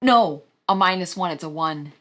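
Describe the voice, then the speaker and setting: A woman's voice: on the low side, expressive, normal speed, pushed and bright. A woman in her mid-thirties co-hosting a live board game stream, chatty and playful, talking to viewers and teasing her partner. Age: 30s. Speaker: co-host playing a board game. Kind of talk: casual live-stream chat.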